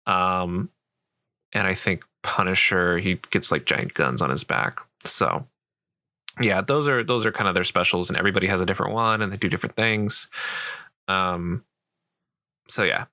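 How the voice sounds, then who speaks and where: A sweet, patient boyfriend played by a man walks a beginner through a game in a casual roleplay. His voice is low and crisply bright, recorded close and dry. He rambles gently and keeps things reassuring. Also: dry recording. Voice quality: soft, creaky voice. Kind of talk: roleplay ASMR, casual improvised game tutorial.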